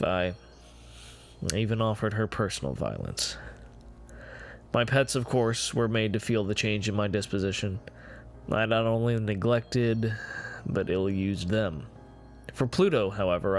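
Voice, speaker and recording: A man gives a dramatic reading of a gothic horror story, with measured, deliberate phrasing and dramatic pauses. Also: lowish voice. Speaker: amateur reader of a classic horror story. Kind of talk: dramatic reading of gothic first-person fiction. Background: music behind the voice.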